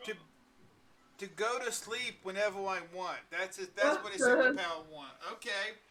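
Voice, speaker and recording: A man's voice: sits high, expressive, normal speed, recorded from a distance, crowd noise. A man in his forties hosting a casual live-stream chat, rambling with lots of fillers and chuckles. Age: 40s. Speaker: podcast host.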